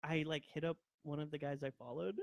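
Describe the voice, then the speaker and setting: A young man in his twenties with a high voice, talking with a handheld mic. He chats as a podcast guest, breaking into excited outbursts and frequent laughs. The sound is close and dry. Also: fast, creaky, dry recording. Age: 20s. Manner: animated, laughs often, sudden bursts of excitement. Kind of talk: casual chat.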